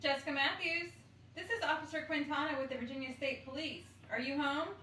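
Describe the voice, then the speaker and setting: Casting: a commanding female trooper, high voice, clipped and official, radio drama, distant over clear background noise. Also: steady tone, fairly quick, pushed and bright. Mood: official, firm. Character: brisk, authoritative female state police officer. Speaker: actor in an audio drama. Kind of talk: naturalistic radio drama dialogue. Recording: echoey, distant, noisy location sound.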